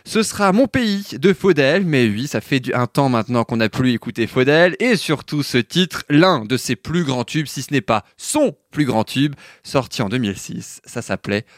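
A man's voice: mid-range voice, animated, normal speed, few pauses, dry recording, clean studio sound. A man presenting music on the radio in a chatty, conversational way, filling his talk with small fillers. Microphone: studio microphone.

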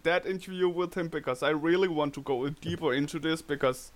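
A man with a Danish accent, co-hosting a podcast in casual chat, tired and halting as he searches for words. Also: fairly high pitch, fairly even intonation. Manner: halting, repeats words while searching for phrasing. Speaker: podcast co-host.

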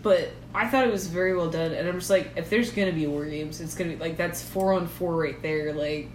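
A woman's voice: fairly low pitch, fairly quick. A woman co-hosting a podcast, chatting in a rambling, giggly way with plenty of fillers. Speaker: podcast co-host. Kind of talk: casual chat about wrestling. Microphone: shared recorder. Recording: echoey room with background noise.

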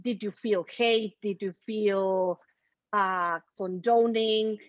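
A woman co-hosting an interview on a video call, asking slow, searching questions. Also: mid-range voice, steady tone, no room sound, dull audio. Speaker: co-host. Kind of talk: interview chat.

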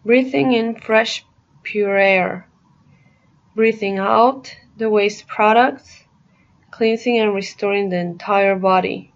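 A woman narrating a guided meditation in a soothing, deliberate manner, pausing between short phrases. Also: medium pitch, fairly even intonation, lo-fi sound.

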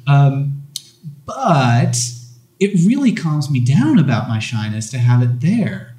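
A man speaking as a guest on a live podcast panel, candid and self-deprecating, with halting restarts. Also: mid-range voice, sing-song, mellow, echoey room. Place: convention hall stage.

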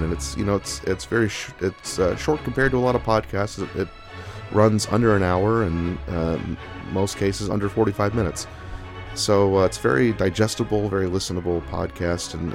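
American male podcast host, very low register, casual and rambling, music playing underneath. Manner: hesitant, rambling delivery with repeated self-corrections. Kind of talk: casual solo podcast announcements. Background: background music.

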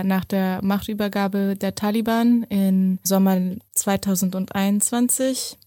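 Even-toned woman, dry close sound. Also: medium pitch, no room sound. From Germany, born abroad to an Afghan family. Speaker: activist podcast guest. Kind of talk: explanatory interview talk.

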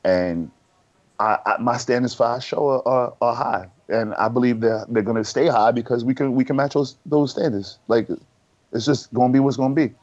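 Man, podcast co-host, brash, boastful, trash-talking, mid-pitched voice, slightly muffled lo-fi sound. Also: steady tone, moderate pace, a little mellow. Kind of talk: casual banter.

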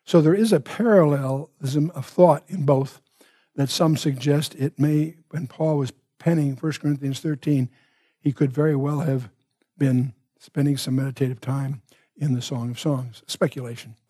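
A man teaching from the Bible in an expository style, with emphatic, deliberate phrasing and off-the-cuff asides. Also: medium pitch, fairly even intonation, warm tone, slightly rough, no echo.

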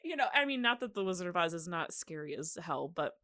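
A woman's voice: fairly low pitch, sing-song, fast, fairly bright tone. A woman co-hosting a podcast, talking casually and slangily like a storyteller among friends. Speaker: podcast co-host. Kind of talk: casual, chatty podcast discussion.

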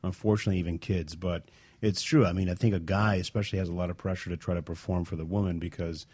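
A man's voice: low-pitched, steady tone, quick pace, darkish tone, slightly rough, no echo. A man chatting casually on a talk show, inquisitive and agreeable.

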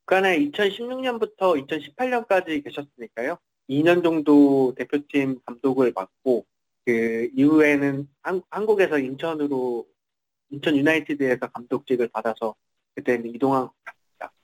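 A man's voice: a little high, moderate pace, poor audio. A man reporting in a semi-formal interview, somewhat hesitantly, often repeating and rephrasing his words.

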